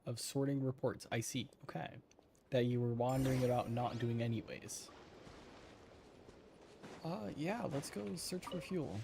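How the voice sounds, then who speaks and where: A male gamer reacts casually to a story game. His mid-pitched voice is gravelly with vocal fry and his asides are wry. Light noise sits behind him. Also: measured pace, long pauses. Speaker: gaming streamer.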